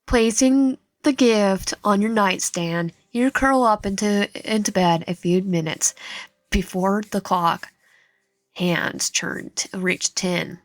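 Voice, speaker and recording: A woman reading visual novel game text aloud in a halting way, often stumbling and correcting herself. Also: mid-range voice, no echo.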